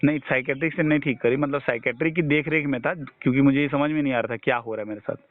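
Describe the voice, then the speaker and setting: A man's voice: medium pitch, racing, dry recording. Reassuring man on a tinny phone call. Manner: reassuring, repeats words for emphasis. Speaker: IBS health advisor. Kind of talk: informal phone consultation.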